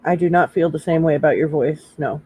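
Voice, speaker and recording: A woman's voice: low voice, a little fast, a crowd in the background. A woman on a live panel who teases the others bluntly and playfully in casual chat.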